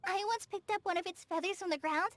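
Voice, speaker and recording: A woman voicing an earnest, excitable young girl in a video game. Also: very high voice, quick pace. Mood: earnest, wistful. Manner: excitable, earnest, wide-eyed. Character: cheerful young girl forest ranger apprentice from afar. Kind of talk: scripted game voice acting.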